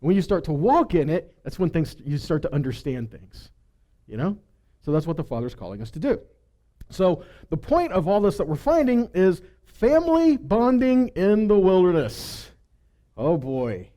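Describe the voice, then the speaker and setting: A man's voice: high-pitched, big pitch swings, normal speed, dark tone. A man giving a conversational sermon as a preacher, teaching with rhetorical questions and frequent check-in tags.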